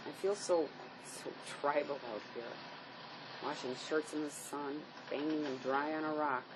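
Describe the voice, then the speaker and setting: A woman's voice: deep voice, level tone, a little slow. An actress playing a wistful, grieving woman on the run in a drama, with a plaintive delivery. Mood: weary, wry. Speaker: actor. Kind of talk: dramatic dialogue reading. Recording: clear background noise.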